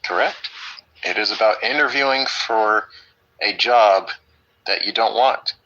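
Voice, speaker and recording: A man with a deep voice teaching an online English class, upbeat and teasing with his students. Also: unhurried, bright, forceful tone, dull audio.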